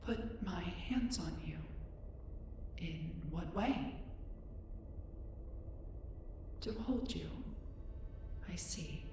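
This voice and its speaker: A woman's voice: fairly low pitch, lively intonation, slow pace. A woman playing a curious, polite young female alien in an audio roleplay, speaking in formal, precise, reserved phrasing. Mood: earnest, inquisitive. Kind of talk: scripted roleplay audio drama addressed to listener. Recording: echoey, distant, noisy spaceship ambience.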